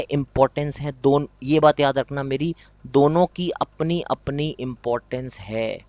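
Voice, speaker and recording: Rajasthani man teaching, brisk and repetitive. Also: on the high side, a little mellow, no room sound. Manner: explains by repeating key points, asks students rhetorical questions. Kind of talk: exam prep lesson.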